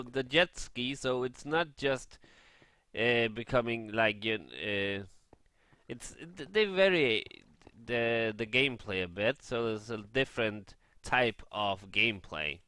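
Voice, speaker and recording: A man gaming vlogger chatting casually and haltingly in a rambling review over gameplay. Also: mid-range voice, lively intonation, sharp tone, clean tone, dry recording.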